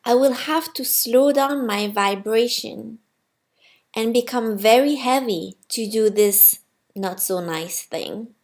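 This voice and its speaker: Woman as a slow, grave, gentle friendly spirit. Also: a little high. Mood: grave, quiet. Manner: quiet, solemn, deliberate.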